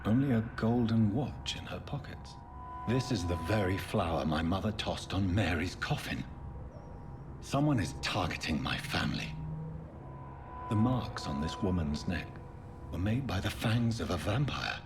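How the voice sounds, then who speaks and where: A man with an English accent playing a brooding, haunted gentleman doctor turned vampire in a video game, speaking gravely and formally. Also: deep voice, animated, a little slow, music in the background. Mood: grim, investigating. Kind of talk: dramatic game voice acting.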